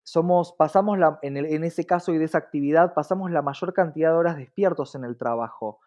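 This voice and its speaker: A man's voice: fairly high pitch, brisk, darkish tone. A man with an Argentine accent talking reflectively in a conversational interview, often checking in with short tag questions.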